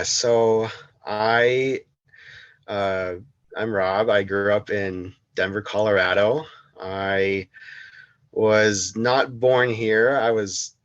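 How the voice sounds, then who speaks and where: A young man from the United States, a podcast guest talking slowly and hesitantly, with many pauses and restarts. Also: lowish voice, fairly bright tone, no room sound, muffled recording. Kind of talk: casual interview.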